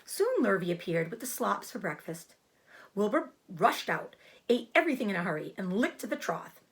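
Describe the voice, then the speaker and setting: Lady teacher doing a read-aloud, really animated pitch, expressive storytelling. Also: moderate pace. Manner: expressive, explains hard words.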